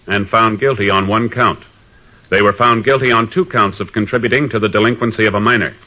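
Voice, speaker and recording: A man as a formal, authoritative announcer reading scripted narration in a measured 1950s radio style. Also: on the low side, pushed and bright. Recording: dull vintage radio recording.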